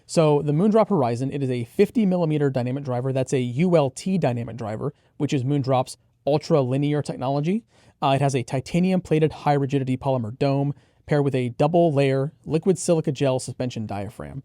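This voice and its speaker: A man co-hosting a product preview, explaining technical details in a measured, conversational way. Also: medium pitch, dark tone, dry recording, studio quality.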